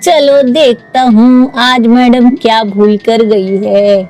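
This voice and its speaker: A woman's voice: a little high, fairly even intonation, slow, a little mellow, faint music behind. A woman voicing a sly, greedy male cook in a toy cartoon, gloating as he talks to himself.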